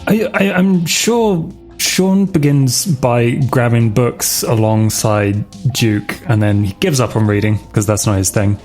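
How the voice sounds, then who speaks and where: A man casually describing his character's moves as a roleplaying game player, in a halting, informal way. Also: mid-range voice, a little mellow, faint music behind. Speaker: player on an actual play podcast.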